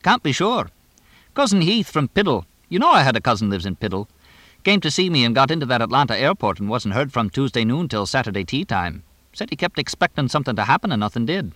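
A man voicing a fast-talking English horse trainer in an audiobook, drily blunt, chatty and deadpan in a clipped way. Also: mid-range voice, dry recording, pitched above the performer's own voice. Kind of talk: audiobook character dialogue.

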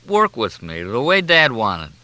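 A man voicing a whiny male newspaper heir who pleads in a maudlin, tipsy, drawn-out way. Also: mid-range voice, huge pitch swings, sharp tone, no echo, full character voice. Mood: tearful pleading.

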